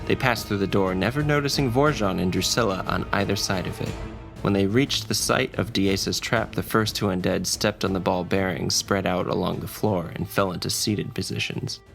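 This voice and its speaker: A man's voice: deep voice, normal speed, gravelly, a bit bright. A man narrating a scripted fantasy adventure story as its dungeon master and storyteller. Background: faint ambience and music.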